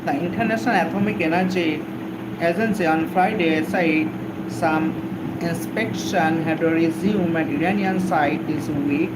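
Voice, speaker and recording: A man with a Bangladeshi accent hosting a live stream, reading news aloud and greeting viewers in a halting, repetitive way. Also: fairly high pitch. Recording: very noisy, steady background hum.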